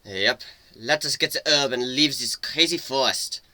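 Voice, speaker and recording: A man's voice: mid-range voice, measured pace, pushed and bright, dry recording. A man voicing a jumpy, sardonic male inventor adventurer in a dramatic fantasy story reading. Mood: uneasy, dry.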